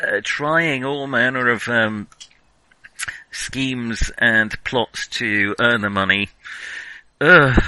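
A male podcast host with a very bright, sharp-edged voice, recorded dry and close. He talks casually about films in a wry, self-deprecating, rambling way, feeling for his words as he goes. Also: medium pitch, dry recording, rough recording. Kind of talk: casual film discussion.

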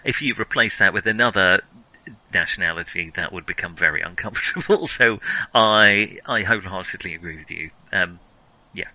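A man co-hosting a casual film discussion, talking in a wry, animated, emphatic way. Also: sits low, normal speed, dry recording, rough recording. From Europe. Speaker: podcast co-host.

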